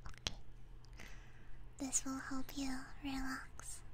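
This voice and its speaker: Female ASMR streamer, high-pitched, whispery, gentle, unhurried, close binaural mic. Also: completely flat delivery. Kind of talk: ASMR, whispered chat to viewers. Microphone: close binaural ASMR microphone.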